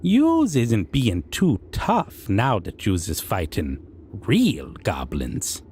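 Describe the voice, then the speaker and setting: A man's voice: on the low side, wildly swooping pitch, takes it slowly, darkish tone, slight background noise, full character voice. A man voicing a proud, amused, menacing goblin king who speaks in broken grammar and musing hums. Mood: mocking. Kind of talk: roleplay audio monologue.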